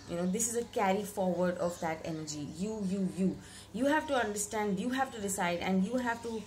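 A young woman, slightly hoarse from a cold, giving a reflective weekly tarot reading to camera in a small room, often repeating words for emphasis. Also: mid-range voice, a little hiss or hum. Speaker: tarot reader. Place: bedroom with curtained window, indoors.

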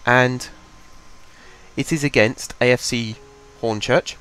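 A man's voice: medium pitch, steady tone, takes it slowly, fairly bright tone, clear, resonant tone, low-quality recording. Chatty man with a cold. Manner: chatty, rambling, thinks aloud with fillers. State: has a cold, congested. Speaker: gaming channel host. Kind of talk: casual game commentary. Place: home desk.

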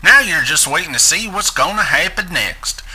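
A man's voice: on the high side, lively intonation, normal speed, bright, forceful tone, husky. A man giving a dramatic reading of a script as its narrator. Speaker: narrator reading a transcript aloud.

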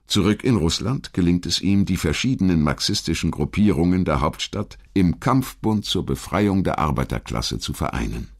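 A man reading scripted biographical narration in a measured, formal storytelling manner. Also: very deep voice. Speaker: documentary narrator.

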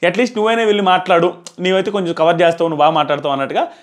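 Fast, high-pitched man reviewing to camera. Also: steady tone. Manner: emphatic, repeats phrases for stress. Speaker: reality show reviewer. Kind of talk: casual spoken review to camera. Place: small plain room indoors. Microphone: clip-on lapel mic.

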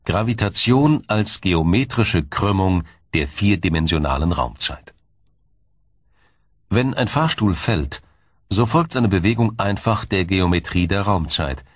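Low-voiced male documentary narrator. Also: dry recording, clean studio sound. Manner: measured, explanatory, formal. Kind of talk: scripted science documentary narration.

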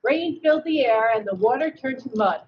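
A woman reads a children's storybook expressively. Her voice is fairly high with a slight husk to it. It comes through as dull video-call audio. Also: animated, brisk, breathy edge. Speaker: read-aloud co-host, educator.

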